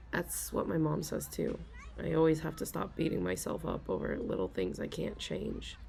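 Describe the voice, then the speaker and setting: Young woman, low-pitched, gravelly voice, hesitant, sighing, soft confiding ASMR role-play. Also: big pitch swings, a little hiss or hum. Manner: hesitant and self-deprecating, with frequent sighs and halting restarts. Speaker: ASMR role-player playing an anxious office worker.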